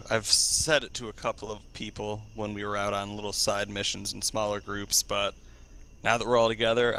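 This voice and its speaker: A man's voice: on the low side, pushed and bright, slight background noise. A man improvising in character during a group role-play discussion.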